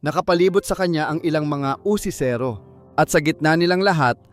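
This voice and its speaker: Higher-voiced man narrating dramatic stories. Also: brisk, soft background music. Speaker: audiobook narrator. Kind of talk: dramatic scripted storytelling.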